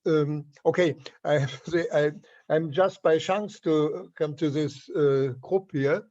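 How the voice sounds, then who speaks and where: A man's voice: fairly high pitch, a little mellow, lo-fi sound. A man with a German accent, a native German speaker, talking hesitantly in an online meeting over a video call. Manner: hesitant, many fillers, slow. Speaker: author and meeting participant. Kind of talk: casual video call discussion.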